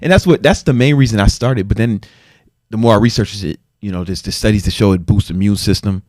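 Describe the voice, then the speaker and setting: A man in his forties talks as a podcast guest, easygoing and full of slang, often breaking into laughs. His voice sits low, and the recording is dry and close. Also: quick pace, a little mellow, dry recording. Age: 40s. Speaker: guest, fitness trainer. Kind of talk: casual interview.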